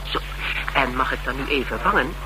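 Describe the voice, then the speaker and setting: An actor playing a shrewd, money-minded private investigator in a Dutch radio drama, asking short probing questions with sardonic, teasing banter. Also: male voice, medium pitch, fairly quick, lightly acted. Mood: sardonic, haggling. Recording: noisy radio drama recording.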